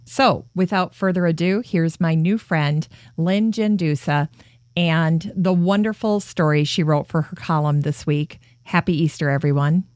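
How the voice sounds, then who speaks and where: A woman's voice: sits low, measured pace, no echo, clean studio sound. A woman with a Minnesotan accent hosting a podcast, speaking enthusiastically and praising her guest. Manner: warm, enthusiastic, gushing praise. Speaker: podcast host. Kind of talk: podcast intro and interview.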